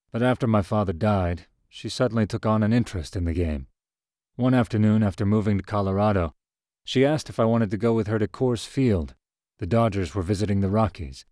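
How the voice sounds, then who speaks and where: A man with a very low, deep voice reads a short story in the first person. His pacing is calm and measured. The close studio recording is dry, with no room sound. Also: no echo. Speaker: narrator. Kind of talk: scripted narration of a short story.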